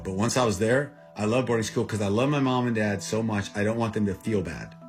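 A man in his sixties, raised in Thailand, telling personal stories in an interview, earnest and halting, often softening his voice. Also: on the low side. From United States, raised in Thailand. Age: 60s. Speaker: guest, aid group founder. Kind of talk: emotional interview storytelling.

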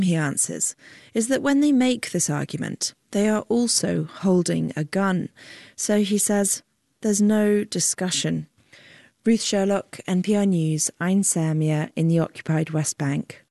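A woman's voice: medium pitch, darkish tone, no echo. A woman radio reporter delivering a scripted field report.

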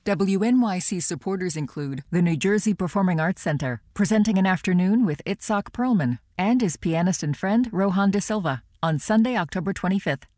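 A voice reading a sponsor message for a radio station in a bright promotional way. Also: medium pitch, expressive, brisk, hardly any pauses, no echo, clean studio sound. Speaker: sponsor announcer. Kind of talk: read underwriting spot.

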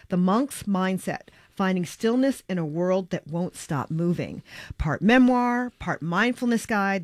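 A woman's voice: medium pitch, dry recording, studio quality. A woman with an American accent hosting a radio interview, reading introductions and putting questions to a guest. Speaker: radio show host.

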